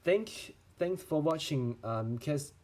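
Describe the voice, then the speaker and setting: A young man from China, a medical student with a Chinese accent, talking through a physiology lesson in a careful, halting way and answering his own questions. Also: on the high side, lively intonation, a little mellow. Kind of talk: lecture-style explainer video.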